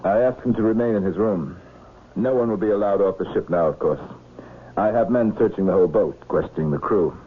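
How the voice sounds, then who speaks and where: An actor playing a probing police inspector in a radio drama, terse and matter-of-fact, courteous but persistent. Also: male voice, lowish voice, fairly quick, breathy edge. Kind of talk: 1970s radio drama acting. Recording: muffled lo-fi old radio broadcast, hiss.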